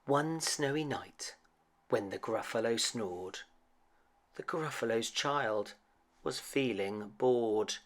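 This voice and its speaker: A man reading a children's bedtime story aloud as an expressive storyteller. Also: medium pitch, slow.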